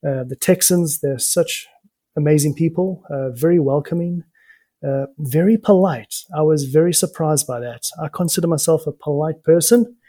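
A man's voice: on the high side, moderate pace, warm tone, no echo. A man with a mixed English and South African accent, a real estate agent answering interview questions in a friendly way with fillers like uh. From England, raised in South Africa. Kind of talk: interview conversation.

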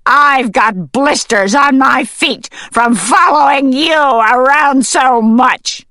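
A storybook mother weasel, played by a woman narrator, hungry, defensive and worn out. The voice is high and sharp, with very slow, drawn-out protests that sound strained and pleading. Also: no room sound, studio quality, heavily acted. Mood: exasperated. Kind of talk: audiobook character voice.

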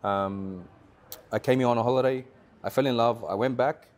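A man, a car rental business owner, telling his story as a guest in a casual interview, animated and repetitive, with slang. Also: mid-range voice, normal speed. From Australia.

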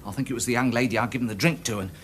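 A man's voice: medium pitch, rapid-fire, natural voice. An actor playing a respectable, embarrassed little Englishman giving evidence in a radio drama, prim, hesitant and stammering. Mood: flustered.

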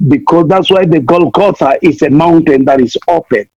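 A man's voice: on the high side, moderate pace, a little mellow, no room sound. A man from Kenya, preaching fervently as a missionary guest, pleading again and again and playing on words. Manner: impassioned, repeats pleas, wordplay. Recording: dull lo-fi dry sound.

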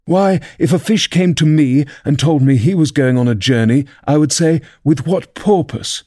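A man's voice: a little high, normal speed, warm tone, voice pushed up, lightly acted. A man voicing a mournful, touchy turtle creature in a storybook, with a plaintive, ponderous manner. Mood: offended, insistent.

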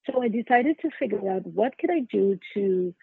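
A woman's voice: medium pitch, lively intonation, moderate pace, vocal fry, slightly rough, no room sound. A middle-aged woman with a Trinidadian accent, telling her own story conversationally as a podcast guest. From Trinidad and Tobago. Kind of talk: conversational interview answers, personal storytelling. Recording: narrow phone-like sound, dry.

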